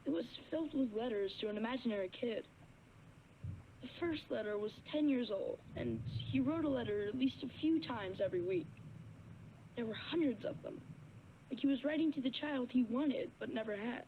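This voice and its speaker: A hesitant caller on a tinny phone line. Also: female voice, a little high, animated, normal speed, a little hiss or hum. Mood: earnest. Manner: hesitant, storytelling. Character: earnest young caller on the phone. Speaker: radio call-in caller.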